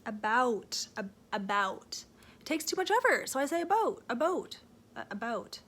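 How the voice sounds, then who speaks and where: Bright, chatty Canadian young woman. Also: a little high, very animated, normal speed. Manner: chatty, rambling with fillers and self-corrections. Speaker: book vlogger. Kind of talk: casual reading vlog chat. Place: home, seated in a rocking chair.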